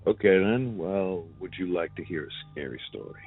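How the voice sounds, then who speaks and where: A man's voice: low voice, moderate pace. A man hosting a horror story show in a scripted, conversational introduction. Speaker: host of a horror story channel. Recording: phone-like band, music clearly behind.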